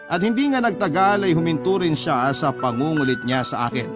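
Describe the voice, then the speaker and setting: A man's voice: fairly high pitch, brisk. A man with a Filipino accent reading a scripted first-person story as a radio storyteller. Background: music under the narration.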